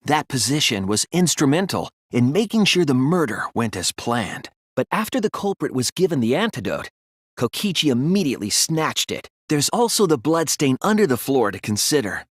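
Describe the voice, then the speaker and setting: An earnest young male detective in a video game lays out his reasoning with care. His mid-pitched voice moves expressively and has a soft, husky edge. The recording is dry and close, and the delivery is thoughtful and analytical. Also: very animated, no echo. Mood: measured reasoning. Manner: deliberate, earnest explaining. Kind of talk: scripted video game voice acting, deduction speeches.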